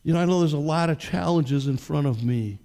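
Older pastor preaching in a reverberant church. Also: male voice, fairly high pitch, brisk, gravelly, mellow. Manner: conversational preaching, direct questions to the congregation. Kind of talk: sermon. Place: church stage. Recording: long reverb of a church hall.

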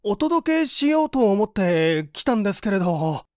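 A woman's voice: medium pitch, sing-song, moderate pace, darkish tone, no echo, studio quality, voice pushed up, natural voice. A woman voicing a timid, down-and-out man, polite and hesitant, in a story reading. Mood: flustered. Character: timid, flustered, down-and-out young man, polite and weary.

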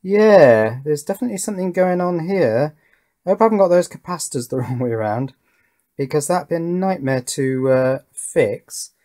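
A man's voice: a little high, lively intonation, normal speed, mellow. A man giving casual hands-on demonstration commentary, thinking aloud in a tentative, musing way. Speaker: host of an electronics build channel. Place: indoor workbench.

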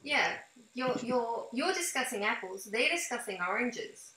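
A woman's voice: a little high, animated, measured pace, pushed and bright. A woman in her fifties interviewing a guest in a conversational style, recorded indoors at a desk. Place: small room at home, indoors. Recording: roomy, echoing home recording.

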